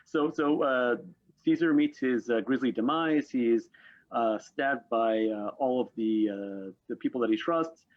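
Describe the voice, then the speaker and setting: A man's voice: mid-range voice, unhurried, dull audio. A man hosting a panel, interviewing conversationally with hesitant, wordy questions. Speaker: panel host.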